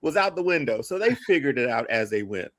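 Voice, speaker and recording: A man's voice: medium pitch, big pitch swings, fairly quick, a bit bright. A man chatting casually as a podcast panelist, slangy and emphatic, often repeating his phrases for weight.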